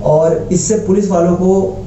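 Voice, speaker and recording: A man delivers an earnest, exhorting commentary to his audience, full of rhetorical questions. His voice is very soft-edged and dark in tone. The recording is muffled and roomy, with a faint layer of noise. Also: sits high, steady tone, a little slow. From India. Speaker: social commentary vlogger. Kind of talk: opinion monologue addressed to viewers.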